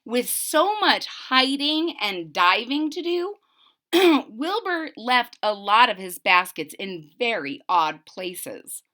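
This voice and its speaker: A woman reading a picture book aloud to children in a dramatic, animated way, stopping to explain things. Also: fairly high pitch, slow pace. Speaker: children's story reader. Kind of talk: expressive read-aloud with explanatory asides to children.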